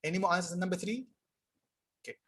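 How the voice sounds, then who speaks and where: Young male tutor, husky and a touch high, casual live online class, reads examples slowly. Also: a bit bright. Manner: casual, explanatory, reads sentences aloud slowly. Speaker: English teacher. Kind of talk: live online tutorial reading chat answers.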